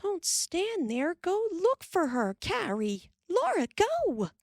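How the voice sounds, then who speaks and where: A woman voicing a frightened pioneer mother who calls out in a high voice. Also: huge pitch swings, measured pace, vocal fry, no echo, clean studio sound, heavily acted. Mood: frantic. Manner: high, calling out.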